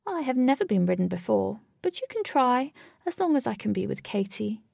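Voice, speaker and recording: A woman reads as a giant fat storybook cat, sweet and endearing. The voice is lifted and soft-toned, with a little creak in it. It sounds lonely, earnest and very affectionate. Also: on the high side, sing-song, brisk, dry recording, studio quality, heavily acted. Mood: devoted. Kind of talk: storybook character voice.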